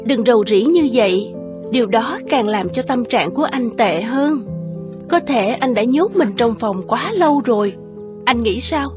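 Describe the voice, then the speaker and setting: A woman voicing a gentle, caring young wife with a soothing manner in an animated fairy tale. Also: on the high side, a little slow, over music. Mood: encouraging.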